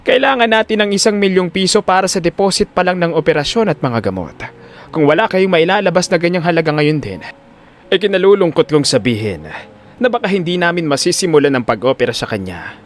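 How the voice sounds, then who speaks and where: A man voicing a corrupt, greedy doctor with a formal, grave, measured manner in an audio drama. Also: sits high, big pitch swings.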